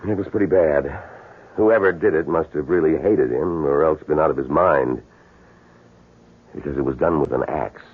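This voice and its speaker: An actor playing a grave, blunt, plain-spoken police sergeant in a 1970s radio drama. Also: male voice, extremely low pitch, normal speed, slightly rough. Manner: grave, blunt, matter-of-fact. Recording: muffled lo-fi 1970s radio broadcast.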